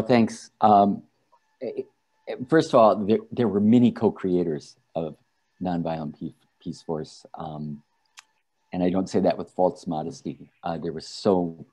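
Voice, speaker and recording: A man speaking as an advocacy director on an online panel, halting and hesitant with restarts, on a video call. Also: sits low, fairly even intonation, warm tone.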